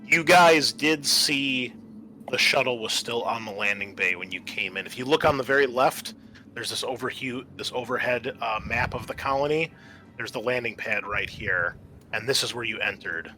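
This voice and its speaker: A man improvising narration as game master of a tabletop game, explaining things in a halting way. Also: mid-range voice, bright, forceful tone, faint music behind, rough recording.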